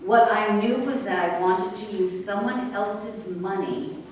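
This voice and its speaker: A woman's voice: medium pitch, unhurried, darkish tone, lightly acted. A woman playing a brash, defiant racehorse trainer in a staged reading of a play. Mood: defiant, indignant. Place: echoey room at a live staged reading. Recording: echoey room from far off, faint noise.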